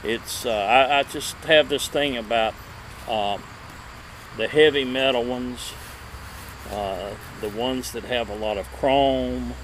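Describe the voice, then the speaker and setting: A man in his fifties presenting a casual show-and-tell as a video host, halting and lingering over his words, recorded outdoors a few feet from the camera. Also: medium pitch, low-quality recording. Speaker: vintage radio collector and video host. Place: outdoor table in a wooded yard. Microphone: camera a few feet away. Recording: steady outdoor background noise.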